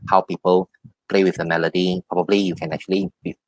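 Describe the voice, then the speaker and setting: A man giving spiritual advice as a tarot reader, explaining things haltingly and often repeating his phrases. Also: low-pitched, level tone, a little mellow, no echo, low-quality recording. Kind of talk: spiritual advice in a one-on-one reading.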